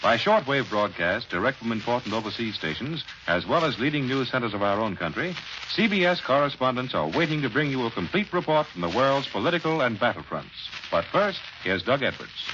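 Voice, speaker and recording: A man's voice: mid-range voice, big pitch swings, a little fast, muffled recording. An American man working as a 1940s radio announcer, reading scripted program introductions and sponsor messages. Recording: noisy, band-limited 1940s radio broadcast.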